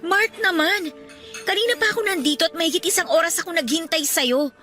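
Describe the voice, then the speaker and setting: A woman acting a high, bright, fed-up young Filipina girlfriend. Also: fairly quick, faint music behind. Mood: annoyed, scolding. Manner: nagging, accusing, emphatic. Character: fed-up young woman confronting her unfaithful boyfriend. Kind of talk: radio drama acting.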